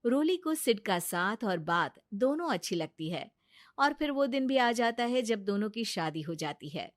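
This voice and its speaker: A woman's voice: medium pitch, sing-song, a little slow, dry recording, studio quality. A woman narrating a scripted family story as storyteller.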